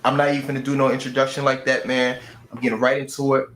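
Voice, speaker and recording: A man interviews two guests in a plain, direct way. His voice has a middle pitch. The room around him echoes, with faint noise underneath. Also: little pitch movement, fast, a bit bright. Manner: blunt, direct questioning. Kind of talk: street-style interview.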